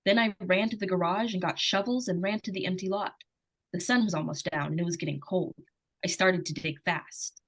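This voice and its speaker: A woman's voice: on the low side, a little fast, poor audio. A woman playing an earnest, determined young schoolgirl who tells her story in plain, insistent words in an old-time radio suspense drama. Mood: earnest, narrating.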